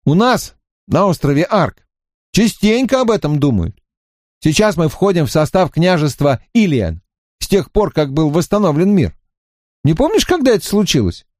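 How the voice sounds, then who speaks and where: An eager young wizard student, talkative and fervent, his voice lifted bright and high over a lively, swinging melody, as a male reader puts him on. The tone underneath stays mellow, and the recording is close and dry, with no room around it. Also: moderate pace, no room sound. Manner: earnest, fervent, slightly mocking.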